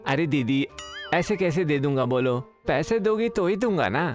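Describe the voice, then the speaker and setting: Comic cartoon sidekick, voiced by a man: sly, greedy, quirky, very animated sing-song pitch, light music behind. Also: normal speed, close to an everyday voice. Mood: sly bargaining. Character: quirky, sly, money-minded brother with silly catchphrase. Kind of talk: animated moral comedy story voice acting. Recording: faint background music.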